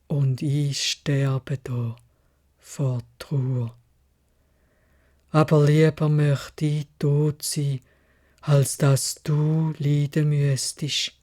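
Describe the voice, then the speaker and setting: Woman voicing a slow, low, sorrowful beast. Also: dark tone, full character voice. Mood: grieving, pleading. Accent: Swiss German. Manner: slow, halting, heavy-hearted. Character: sorrowful gentle male beast in love.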